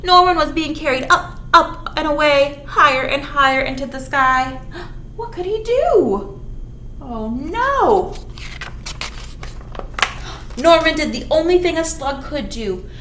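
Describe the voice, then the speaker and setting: A high-voiced woman reads to small children at an unhurried pace. She is playful and exaggerated, chatting to her young audience between lines of the story. The recording is roomy and echoey, with some background noise. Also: pushed and bright. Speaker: children's librarian leading storytime. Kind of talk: animated storytime read-aloud with asides to young children.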